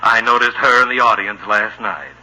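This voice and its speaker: An actor playing a polite, formal American Hollywood talent scout in a radio situation comedy. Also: male voice, on the low side, normal speed, a little hiss or hum, rough recording. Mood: amiable. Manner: courteous, formal, chuckling. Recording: old, bright radio broadcast recording.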